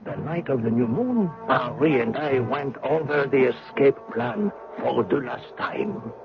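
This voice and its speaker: A man's voice: mid-range voice, lively intonation, a little slow, creaky, a loud crowd. An actor playing a soldier who recounts his own prison-escape exploits in an old-time radio drama. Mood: measured, recounting. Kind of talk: first-person radio drama narration. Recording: dull old radio recording with music.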